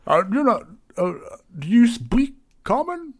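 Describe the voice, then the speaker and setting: A man's voice: very high-pitched, very animated, unhurried, pitched above the performer's own voice, exaggerated voice. A man voicing a large, nervous, friendly half-elven tavern keeper who stammers politely in a tabletop game. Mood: polite, puzzled.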